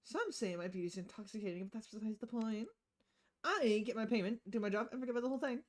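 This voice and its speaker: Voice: medium pitch, fast talker, pitched above the performer's own voice, lightly acted. A streamer voicing a confident, sardonic young female hacker in a visual novel game. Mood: breezy, confident. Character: confident, flippant young woman freelance hacker.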